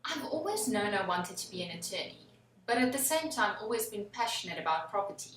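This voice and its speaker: A young woman with a South African accent and Afrikaans as her first language, an attorney giving formal, measured answers in an interview. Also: mid-range voice, very animated, a bit bright, distant mic, slight background noise. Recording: echoey room, away from the mic.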